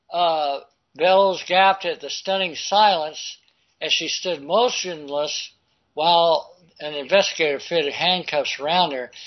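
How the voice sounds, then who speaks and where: A man with a voice a little on the high side reads news pieces aloud and breaks off into his own remarks. He often halts and trips over words, filling the gaps with fillers. The recording is dull and lo-fi. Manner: halting and stumbling over words, with frequent filler. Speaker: political commentary host. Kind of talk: reading news articles aloud with off-the-cuff asides.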